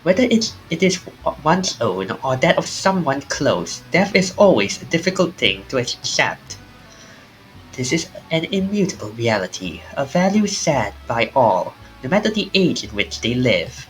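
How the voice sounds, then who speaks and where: Mysterious polite storyteller read out by a streamer, swoopy lively pitch, formal and theatrical, faint music behind. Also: a little slow, rough recording. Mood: solemn. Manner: formal, theatrical delivery with small stumbles. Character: mysterious, courteous narrator of ghostly tales. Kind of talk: reading visual novel dialogue aloud.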